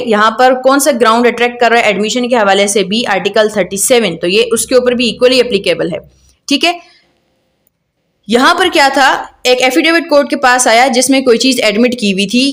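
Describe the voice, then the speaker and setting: A woman's voice: on the high side, fairly bright tone. A woman with a Pakistani accent giving an explanatory law lecture, didactic, often posing questions and answering them herself. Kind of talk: explanatory law lecture mixing Urdu and English.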